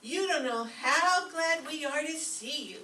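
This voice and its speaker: A woman's voice: high-pitched, a little slow, pushed and bright. A woman playing a naive, timid, dim-witted young woman in an old-time radio comedy, speaking in a guileless way. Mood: admiring. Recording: echoey stage, distant mic, faint noise.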